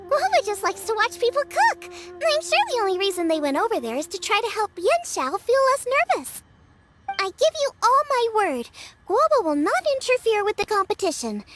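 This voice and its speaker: A woman's voice: very high-pitched, fairly bright tone, full character voice. An actress voicing a young female chef who is upbeat, eager and encouraging, with a bubbly manner. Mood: cheerful. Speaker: chef, contestant.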